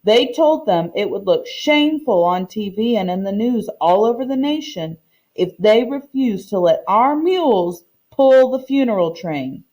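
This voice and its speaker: A woman reading aloud the part of a proud, earnest older woman who recounts her community's history in a storybook, in measured phrases. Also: medium pitch, lively intonation, dark tone, lightly acted, low-quality recording. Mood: solemn, sad.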